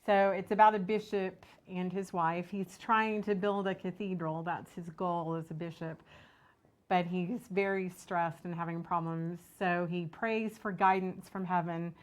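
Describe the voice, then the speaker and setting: A woman's voice: fairly low pitch, steady tone, a little slow. A woman speaking as a theater director, explaining things in an interview.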